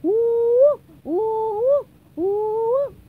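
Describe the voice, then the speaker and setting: A woman's voice: high-pitched, sing-song, a little slow, narrow, tinny audio. A woman voicing a dim, impulsive male cartoon starfish with a blunt, blurting delivery in a storybook reading. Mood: loud, yelling.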